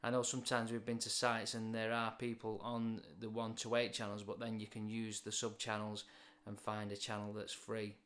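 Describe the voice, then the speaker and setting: A man's voice: lowish voice, monotone, moderate pace, few pauses, a bit bright. A British man in his forties explains things in a plain, matter-of-fact way, as in an informal product review.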